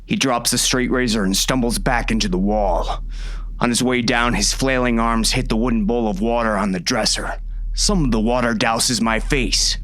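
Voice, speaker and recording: A man's voice: medium pitch, fairly even intonation, moderate pace, a bit bright, lightly acted. A man playing a hard, vengeful sheriff in a western audiobook, speaking in a curt, threatening way. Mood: cold anger. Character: hard, menacing male sheriff, a vengeful father. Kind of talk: first-person audiobook narration and dialogue.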